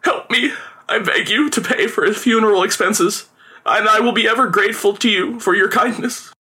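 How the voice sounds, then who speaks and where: A man plays a wily old street magician from a storybook, a melodramatic father who complains and pleads. The voice is pitched high, swooping and bright, well above an everyday register. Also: lively intonation, fairly quick. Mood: tearful begging. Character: theatrical aging male street conjurer, wily, lamenting father. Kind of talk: storybook character voice.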